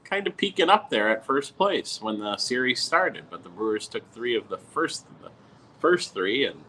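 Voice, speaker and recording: A male podcast guest with a bright, punchy voice at a medium pitch. He banters casually about sports, doubling back on his own phrases and playfully talking himself up. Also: big pitch swings, moderate pace, a little hiss or hum, poor audio. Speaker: podcast guest who works at a TV station.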